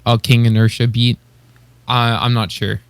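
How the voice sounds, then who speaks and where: A man's voice: medium pitch, level tone, moderate pace, smooth, no room sound. Tentative man chatting casually, dry recording. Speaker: podcast co-host. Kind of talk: casual chat about beatbox competitors.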